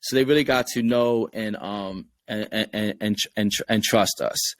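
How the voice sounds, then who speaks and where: A man with a Rhode Island accent speaks in a fairly low voice, earnest and open. He talks loosely, circling back and repeating words as he works out his point in a relaxed podcast chat. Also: moderate pace, no room sound. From Rhode Island, USA. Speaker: game creator guest. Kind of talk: casual podcast conversation.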